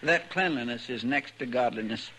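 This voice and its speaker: An actor playing an earnest, deferential American deputy in a 1950s radio western, rambling along in a homespun way. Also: male voice, mid-range voice, moderate pace, pushed and bright, lightly acted. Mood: folksy, earnest. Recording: noisy vintage 1950s radio recording.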